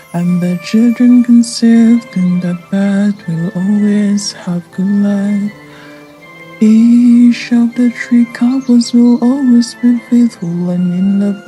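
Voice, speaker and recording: A woman's voice: medium pitch, warm tone, lightly acted. A young woman playing a solemn fairy ruler giving a blessing in an amateur dramatic reading. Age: young adult. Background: lullaby-like music.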